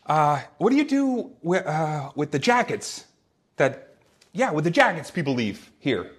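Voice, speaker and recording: A man playing a shy, nervous teenage boy in a comic script read, husky and stammering. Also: on the high side, very animated, exaggerated voice. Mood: nervous, flustered. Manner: stammering, halting, nervous laughs. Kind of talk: comic screenplay table read.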